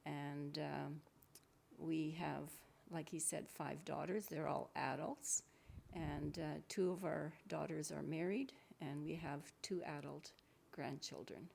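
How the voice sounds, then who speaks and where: A woman in her seventies from Paraguay, a retired nurse, telling her story slowly with pauses as an interview guest. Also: low voice, fairly even intonation, gravelly, darkish tone, a little hiss or hum. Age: 70s. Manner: slow, thoughtful, with pauses.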